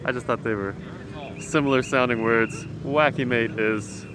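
Clear outdoor paddock noise surrounds a man with a mid-pitched voice. He talks like an enthusiast vlogger on a walk-around, informal and slangy. His delivery is casual and keen. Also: fairly even intonation, moderate pace. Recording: noisy outdoor car paddock ambience.

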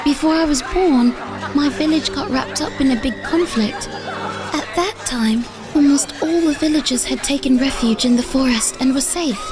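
Woman voicing a high-pitched, wistful village girl. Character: wistful, earnest young village girl telling her sad past. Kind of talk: dramatic comic dub narration.

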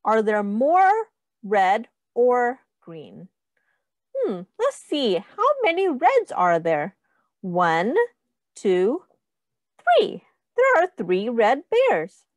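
A woman teacher reading a picture book aloud to young children, deliberately and instructively, asking questions and counting along. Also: on the high side, wildly swooping pitch, no room sound.

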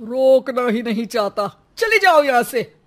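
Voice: medium pitch, sing-song, a little slow. A performer voicing a principled, exasperated elderly father in a cartoon, speaking in a chiding, moralizing manner. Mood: indignant. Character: principled, exasperated elderly father, grey-haired family patriarch. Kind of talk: animated cartoon dialogue.

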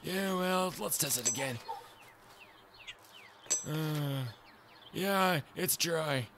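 A man playing well drillers in a dramatized story, calling out back and forth over a loud running engine. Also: on the high side, drawn-out words, pitched above the performer's own voice. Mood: calling out, puzzled. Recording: loud engine noise over distant voices.

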